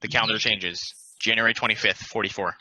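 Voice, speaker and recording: A man narrating stage directions at a play table read in a plain, deliberate, announcing manner. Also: lowish voice, fairly even intonation, bright, forceful tone, no room sound, low-quality recording.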